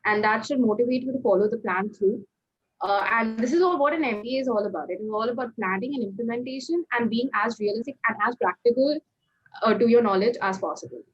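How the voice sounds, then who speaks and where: A woman's voice: a little high, fast talker, slightly rough. A woman in her twenties with an Indian accent, giving advice conversationally as a student panelist. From Kolkata, India. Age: 20s. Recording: slightly dull lo-fi call audio.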